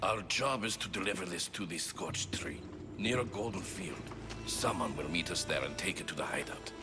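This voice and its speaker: A man's voice: sits low, normal speed, lightly acted. A man voicing a secretive, businesslike courier conspirator in a video game. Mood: guarded. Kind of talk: dramatic video game dialogue. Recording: game soundtrack music behind the voice. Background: music.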